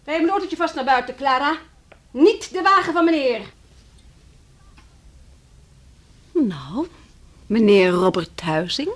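An actress playing a defiant, scornful wife in a Dutch radio drama, with theatrical delivery. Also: female voice, high voice, lively intonation, normal speed. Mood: haughty. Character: defiant, mocking wife sparring with her husband.

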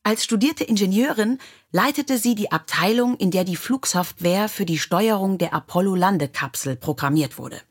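A woman's voice: mid-range voice, lively intonation, moderate pace, husky. A woman narrating scripted biographical stories in a storybook style. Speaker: audiobook narrator.

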